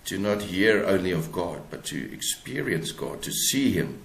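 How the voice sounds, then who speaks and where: Male interview guest, South African accent, low-pitched, thoughtful and halting delivery, echoey room. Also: sing-song, vocal fry. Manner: halting, repeats words as he searches for phrasing. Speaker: guest author. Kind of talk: reflective interview talk. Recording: roomy, echoing sound.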